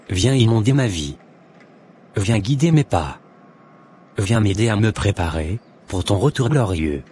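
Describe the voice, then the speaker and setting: Man acting low-voiced solemn devout convert, noisy recording. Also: a little mellow. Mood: prayerful.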